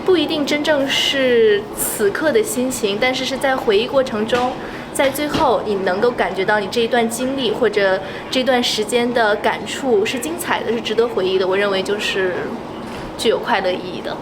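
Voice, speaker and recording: A woman whose first language is Mandarin, answering street questions thoughtfully and pausing to find her words. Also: fairly high pitch. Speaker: interviewee. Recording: noisy outdoor street audio.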